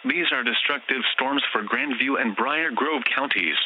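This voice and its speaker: A man's synthetic voice reading a scripted emergency weather warning in a formal, measured way, as an automated alert announcer. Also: medium pitch. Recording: muffled lo-fi broadcast, clear background noise.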